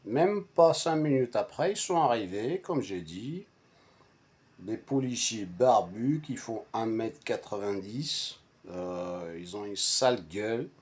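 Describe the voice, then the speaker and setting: A man whose first language is Arabic, telling a personal story casually in a halting, repetitive and emphatic way. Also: on the low side, lively intonation, a little mellow. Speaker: storyteller recounting a personal anecdote to friends.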